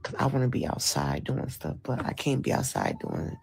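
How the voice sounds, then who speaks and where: A woman's voice: a bass voice, huge pitch swings, normal speed, gravelly, darkish tone, slightly rough. A woman vlogging from bed with a cold, congested and annoyed as she complains. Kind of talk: casual vlog. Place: lying in bed.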